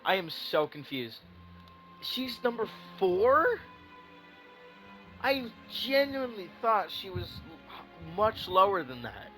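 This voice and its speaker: A man giving casual live reaction commentary as a gaming streamer, excitable, repeating himself as he thinks aloud. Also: high-pitched, slow, soft background music.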